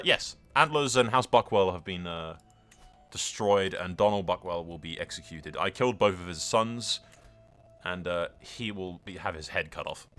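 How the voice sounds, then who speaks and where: Male gaming host, low-ish pitch with big swings, sweary, exclamatory let's-play style over faint music. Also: bright, forceful tone. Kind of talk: casual let's-play commentary mixed with in-character roleplay narration.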